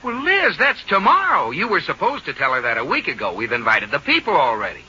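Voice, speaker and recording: An absent-minded American husband in an old radio comedy. His middle-pitched voice swoops dramatically and cuts sharply, going from sheepish to bossy. The sound is dull and hissy. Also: huge pitch swings, fairly quick, pushed and bright, breathy edge, exaggerated voice. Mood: firm. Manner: exclamatory, sheepish, then firm. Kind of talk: 1940s radio comedy acting.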